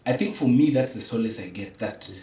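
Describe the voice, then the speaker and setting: A man's voice: medium pitch, fairly quick, warm tone. A man with a Kenyan accent in reflective conversation, speaking emphatically and philosophically and slipping between English and Swahili. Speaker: podcast guest, theatre practitioner. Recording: roomy, echoey, distant from mic.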